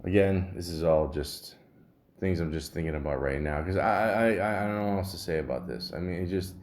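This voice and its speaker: Deep-voiced man, muffled and grumbling. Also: fairly quick, a little mellow. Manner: rambling, grumbling, profane. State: sore throat. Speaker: podcast host. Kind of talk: casual solo podcast rambling, reading symptom lists aloud.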